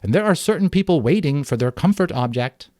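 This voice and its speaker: A man's voice: a little high, expressive, brisk, dark tone, dry recording, clean studio sound, pitched above the performer's own voice, close to an everyday voice. A man voicing an impatient, huffy seven-year-old girl in a storybook. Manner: huffy, prim.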